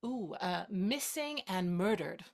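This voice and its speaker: A woman's voice: medium pitch, lively intonation, takes it slowly. A woman acting in a naturalistic drama as a playful, caring best friend with a light, teasing, encouraging manner. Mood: playfully teasing.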